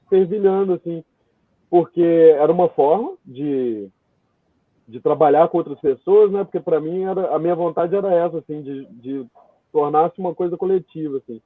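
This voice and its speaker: Casting: male interview guest, Brazilian Portuguese accent, higher-pitched, halting and meandering, narrow phone-like sound. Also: no room sound. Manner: halting, meandering, thinking aloud. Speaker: guest, theatre writer and director. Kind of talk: informal interview answer. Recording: thin, band-limited call audio, dry.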